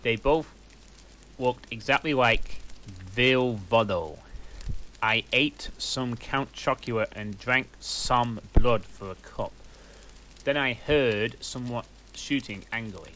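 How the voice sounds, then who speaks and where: Guy reading a fanfic real slow, bright voice, swears in exasperated asides. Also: mid-range voice, slight background noise, low-quality recording. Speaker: reader. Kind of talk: comedic fanfic reading aloud.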